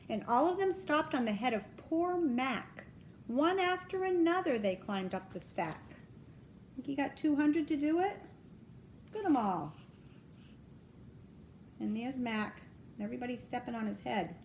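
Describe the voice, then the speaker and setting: A woman reads a picture book aloud to children in a high, lively, sing-song range. She is expressive and speaks simply, breaking off to put questions to the listener. A light noise with rumble runs through the recording. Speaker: grandmother reading to children.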